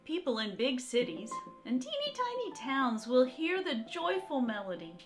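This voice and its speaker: A woman art teacher reading aloud and talking to young children in an encouraging, expressive, direct way. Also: high voice, moderate pace, over music. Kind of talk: children's read-aloud and talk to young kids.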